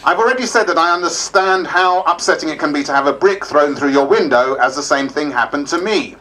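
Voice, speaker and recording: A man's voice: fairly high pitch, animated, a little fast, sharp tone, rough recording. A man playing a wry politician who narrates a diary in a theatrical stage style.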